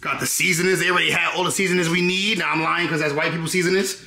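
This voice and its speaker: A man's voice: a little high, fairly even intonation, rapid-fire, bright, forceful tone. A young man in his twenties giving a casual, slangy walkthrough of a rental apartment, repeating his phrases and often asking whether listeners follow. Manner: laid-back, repeats phrases, keeps asking "you know what I'm saying". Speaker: vlogger giving an apartment tour. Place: rental apartment, indoors then rooftop. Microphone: handheld camera held close. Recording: echoey rooms with clear background noise.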